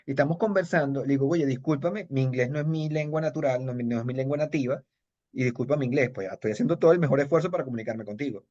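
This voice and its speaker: A middle-aged Venezuelan man speaking Spanish with a Venezuelan accent, an interviewee recalling his past at a brisk clip. He rambles, circling back with fillers and restarts as he goes. The audio is dull and lo-fi, as if from a video call. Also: mid-range voice, darkish tone. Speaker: kung fu master and judge, interviewee. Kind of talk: casual interview storytelling.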